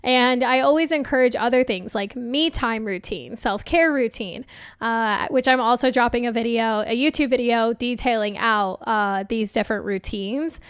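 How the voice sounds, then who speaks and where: Young woman coach chatting on her podcast, a bit hoarse, smooth voice, dry close mic. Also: on the high side, moderate pace, dry recording. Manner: chatty, upbeat, laughs often, many fillers. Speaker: podcast host and life coach. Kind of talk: casual solo podcast talk.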